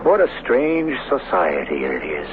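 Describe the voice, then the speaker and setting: An actor playing a brooding, portentous storyteller, narrating a radio drama in a measured, dramatic way. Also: male voice, low voice, huge pitch swings, loud music behind the voice, heavily acted. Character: brooding storyteller of a space colony tale. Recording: muffled, hissy old radio recording.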